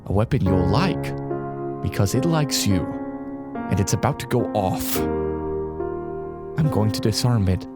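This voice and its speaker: Deep-voiced, calculating, condescending colonel. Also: male voice, expressive, a little slow, long pauses, over loud music. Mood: cold, menacing. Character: cornered, calculating male colonel, menacing and smug. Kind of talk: audio drama acting.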